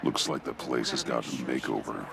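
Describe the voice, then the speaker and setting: A man voicing a grim, brooding masked crime fighter in a video game, muttering tersely in a gravelly growl. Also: rumbling low, steady tone, brisk, noisy background, full character voice.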